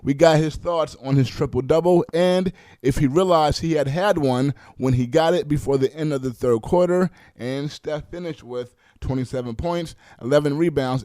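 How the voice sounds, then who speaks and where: Mellow, higher-voiced male radio sports reporter. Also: no echo.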